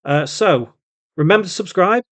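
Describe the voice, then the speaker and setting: A man hosting an informal step-by-step software tutorial, thinking aloud as he works through it. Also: high voice, expressive, normal speed, no echo. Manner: thinks aloud while working, spelling out code symbol by symbol. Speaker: tutorial host, game development instructor.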